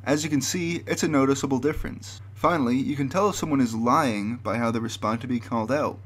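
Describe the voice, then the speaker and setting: A man's voice: mid-range voice, a little fast. Male narrator with a mid-range voice for a scripted explainer video.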